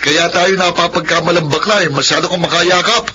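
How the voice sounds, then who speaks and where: This is a man acting as a rich, clumsy young Filipino son in a radio drama. He is affectionate and quick to apologize, in a fairly high voice. The sound is muffled and clearly noisy. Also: steady tone, close to an everyday voice. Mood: bantering.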